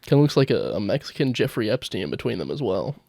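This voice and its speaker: A man's voice: fairly low pitch, very animated, fast, vocal fry, a little mellow, no echo, studio quality. A man co-hosting a comedy podcast, talking in a laid-back, rambling way and laughing often. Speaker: podcast co-host. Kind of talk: casual comedy podcast chat.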